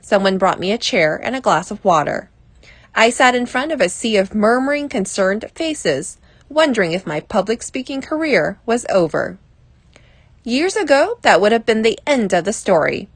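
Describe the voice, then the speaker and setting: A woman reading a personal advice essay aloud as scripted narration, in a measured, deliberate way. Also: mid-range voice, dry recording.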